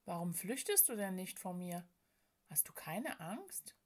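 A woman plays a frail storybook spirit of sorrow, female and bodiless. She speaks in a hushed, plaintive way, timid and tired, as one who feels misunderstood and shunned. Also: mid-range voice, unhurried, close to an everyday voice. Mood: timid, wary. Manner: hushed, hesitant, sighing, plaintive.

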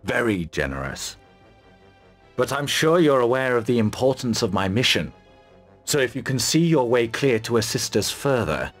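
An actor playing a resolute, grimly determined mercenary army commander in a video game, measured and authoritative. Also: male voice, mid-range voice, big pitch swings. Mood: formal, courteous. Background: music.

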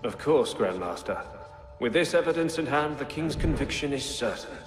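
An actor playing a proud revolutionary politician in a game, formal and emphatic. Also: male voice, mid-range voice, lively intonation, normal speed, natural voice. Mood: self-assured. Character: proud, independent-minded male revolutionary politician. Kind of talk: dramatic video game cutscene acting. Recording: echoing hall under loud music.

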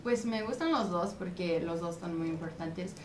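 A woman's voice: lowish voice, normal speed, a little mellow. A young woman, a singer answering interview questions and hesitating as she searches for words. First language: English. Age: young adult. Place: dim indoor room. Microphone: far camera. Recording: echoey room, far-off camera mic, clear background noise.

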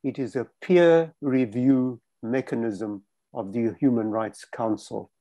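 An older man with a South African accent presenting a webinar slowly and carefully, repeating key points. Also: medium pitch, dark tone, dry recording. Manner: slow, careful, emphatic repetition.

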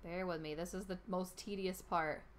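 A woman's voice: on the low side, fairly even intonation, moderate pace, slight background noise. A young woman with a New York accent, chatting casually on a live stream and reading out names in an informal way. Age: young adult. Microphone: headset.

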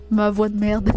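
A woman's voice: medium pitch, darkish tone. A woman with a Quebec French accent chatting casually while livestreaming a game, slangy and crude in her teasing. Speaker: video game streamer.

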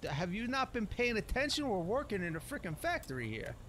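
Animated man casually narrating a video game. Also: sits high, a little fast, slight background noise. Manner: folksy slangy speech, muttering to himself. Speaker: gameplay streamer. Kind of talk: casual game commentary in a loose roleplay.

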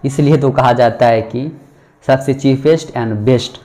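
A man's voice: medium pitch, slow pace, mellow. A young man, giving a chatty, promotional walk-through of a shopping mall and asking staff about prices. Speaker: vlogger touring a mall. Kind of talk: casual walk-through vlog. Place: busy indoor shopping mall.

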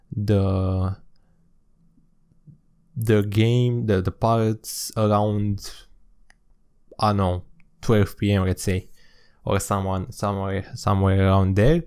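A man's voice: on the low side, mellow. A man in his thirties from Romania, speaking English with a Romanian accent as a second language, hosting casual gameplay commentary with many fillers and restarts. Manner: halting, many fillers and restarts, says "actually" constantly. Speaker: gaming channel host. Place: small room indoors. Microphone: desk microphone close to mouth.

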